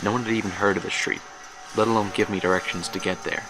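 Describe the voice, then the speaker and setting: A male actor as a haunted older man in a horror audio drama, telling his tale gravely and with measured, literary care. His voice is very low and gravelly, and there is clear background noise with ambience behind him. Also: steady tone, natural voice. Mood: troubled. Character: haunted older man recalling his student days in Paris. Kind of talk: dramatic first-person horror monologue. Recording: noisy track with ambience behind.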